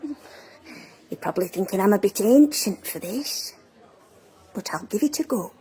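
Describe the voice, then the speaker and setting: A woman playing an elderly visitor in an audio drama, polite, hesitant and curious, with a breathy, husky voice. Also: medium pitch, expressive, unhurried, mellow. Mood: doubtful but game. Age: older.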